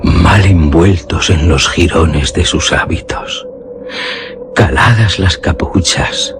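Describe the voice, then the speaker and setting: A man with a very low voice narrates a gothic horror story over prominent music. His delivery is slow, solemn and literary, built for suspense. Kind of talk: scripted dramatic narration of a gothic horror tale. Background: music under the voice.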